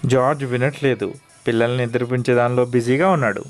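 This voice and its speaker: A man's voice: mid-range voice, fairly even intonation, quick pace, faint music behind. A man narrating a children's cartoon story as its storyteller.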